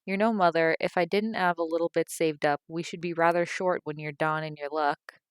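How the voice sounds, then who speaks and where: A woman reads a young Cockney daughter from a storybook, patient but guarded with her answers. Her voice stays crisp and close, a touch lower and smoother than a girl's would be. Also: steady tone, a little fast, no echo, close to an everyday voice. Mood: reasoning. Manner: quiet, evasive.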